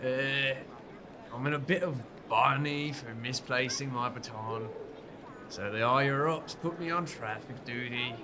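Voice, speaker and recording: A man's voice: medium pitch, a little slow, natural voice. A man playing a bumbling bobby. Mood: chatty. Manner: folksy, easygoing, chatty. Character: kindly bumbling London police officer, adult man. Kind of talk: audio drama acting. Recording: clear background noise.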